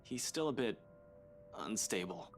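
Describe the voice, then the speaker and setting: An actor playing a matter-of-fact, guarded police detective in a visual novel game. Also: male voice, lowish voice, expressive, a little slow. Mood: grave, matter-of-fact. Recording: music behind the voice.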